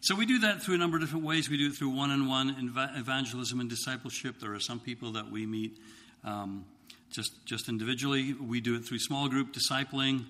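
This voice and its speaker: A man's voice: medium pitch, bright, forceful tone. Man, 50s, light Scottish accent, missionary addressing a congregation, hesitant delivery in a reverberant hall. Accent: slight Scottish. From Scotland. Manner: halting, many ums and restarts. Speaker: missionary speaker. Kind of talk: church talk to congregation.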